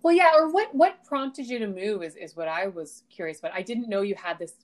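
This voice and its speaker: A woman's voice: medium pitch, lively intonation, fairly quick. A woman hosting a conversational interview, affirming her guest and restarting her questions. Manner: warm, affirming, hesitant restarts.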